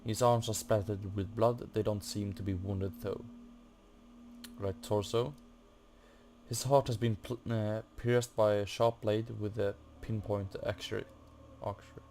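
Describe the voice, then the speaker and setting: A man's voice: on the low side, steady tone, unhurried, mellow. A man giving a casual game walkthrough, hesitantly muttering to himself and reading text off the screen. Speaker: gaming video host. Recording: faint noise from a fan. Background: computer or room fan.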